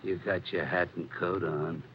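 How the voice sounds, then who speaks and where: An actor playing a big, slow, simple-minded man, halting and plaintive yet menacing, in a 1940s radio drama. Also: sits low, fairly even intonation, slight background noise. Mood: suspicious, menacing. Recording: muffled old radio recording, organ music behind.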